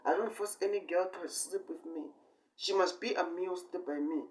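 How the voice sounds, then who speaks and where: The recording is distant, with the microphone set away from the speaker. He is a young man with a fairly high voice for a male. He talks in an improvised, rambling way, halting between short phrases, repeating himself and leaning hard on certain words. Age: 20s. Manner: halting short phrases, repetitive, emphatic bursts. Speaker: amateur online speaker.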